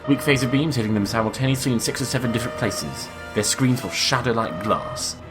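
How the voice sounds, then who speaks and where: A man's voice: medium pitch, brisk, natural voice. A man playing an analytical, skeptical first officer of a starship in a fan audio drama, with music behind him. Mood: focused, explanatory.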